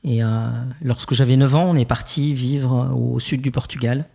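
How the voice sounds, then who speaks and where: A young Swiss French man from Geneva, telling his life story as a podcast guest, thoughtful and hesitant with many pauses and restarts. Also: mid-range voice, steady tone, gravelly, mellow. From Geneva, Switzerland. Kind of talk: reflective personal interview.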